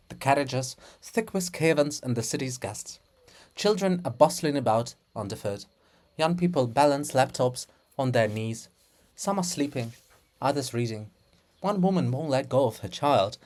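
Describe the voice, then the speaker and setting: A person giving a dramatic reading of a play in a theatrical, deliberate manner, phrase by phrase. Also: medium pitch, lively intonation, darkish tone. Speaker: reader of a play.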